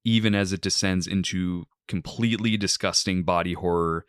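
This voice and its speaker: Deep-voiced male host, smooth and measured, dry studio sound. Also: fairly even intonation, no echo. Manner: articulate, measured, uses "like" fillers. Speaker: podcast host. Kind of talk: film review and interview hosting.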